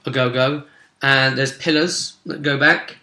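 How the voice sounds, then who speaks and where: A man speaking casually as a game master, recapping a tabletop role-play session with animated, dramatic emphasis. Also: medium pitch, a little slow. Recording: roomy, echoey sound.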